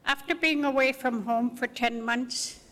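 Older lady from northern canada telling her story to an audience, slow n careful, clear bright tone, echoey pa. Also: high-pitched. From Arctic northern Canada. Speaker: guest speaker, residential school survivor. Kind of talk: personal testimony to an audience. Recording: long reverb of public-address sound.

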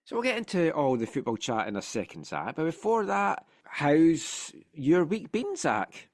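A man's voice: fairly high pitch, moderate pace. A middle-aged man with a Scottish accent, hosting a casual football podcast, chatty and playful, sounding a bit congested from a sinus infection. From Scotland. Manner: chatty, animated storytelling with playful asides. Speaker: podcast host.

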